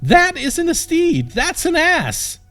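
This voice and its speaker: An exasperated young princess played by a male reader, high and swooping in pitch. She scolds in disbelief with a sharp tongue, turning shrill with alarm. It is a comic fantasy audiobook voice with music underneath. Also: wildly swooping pitch, takes it slowly, exaggerated voice.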